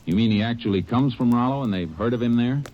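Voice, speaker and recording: An actor playing a steady Texas Ranger who narrates and questions suspects in a tersely measured way in a radio drama. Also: male voice, mid-range voice, muffled recording, slight background noise, close to an everyday voice. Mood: stern. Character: stern, steady male Texas Ranger lawman.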